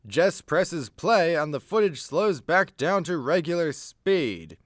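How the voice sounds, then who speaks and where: A man narrates a radio play in a fairly high, lively voice. He takes his time, and the recording is close and dry. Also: takes it slowly, dry recording.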